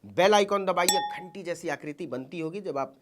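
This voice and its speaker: A woman's voice: on the low side, normal speed. A woman delivering satirical political commentary as a news commentator, with a mocking, theatrical delivery.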